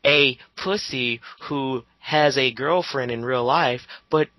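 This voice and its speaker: A man's voice: mid-range voice, takes it slowly, sharp tone, no echo. A young man giving casual, sarcastic commentary on a voice call with friends. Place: voice call, close dry sound.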